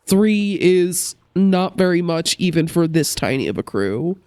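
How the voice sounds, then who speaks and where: A woman's voice: lowish voice, very animated, a little slow, dark tone, no echo, clean studio sound. A woman with a congested, recovering-from-a-cold voice, chatting casually as she plays a game and thinks aloud. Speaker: let's play host. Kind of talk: casual gameplay commentary.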